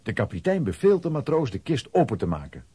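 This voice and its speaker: A man's voice: mid-range voice, sing-song, brisk, mellow, breathy edge, no room sound. A man narrating a children's audio drama in a scripted storytelling style.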